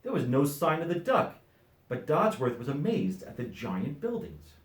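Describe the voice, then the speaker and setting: A middle-aged man reading a children's storybook aloud as an expressive narrator. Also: fairly high pitch, normal speed, echoey room. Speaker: volunteer story reader.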